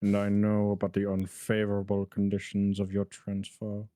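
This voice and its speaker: Man doing a stern male professor, formal, precise enunciation, low pitch, game character. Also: completely flat delivery, dark tone, no room sound, natural voice. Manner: slow, carefully enunciated, formal.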